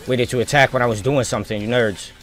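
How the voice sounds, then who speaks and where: A man's voice: medium pitch, steady tone, quick pace, a bit bright. A man giving casual live gameplay commentary as a streamer, thinking aloud in informal, slangy talk. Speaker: video game streamer.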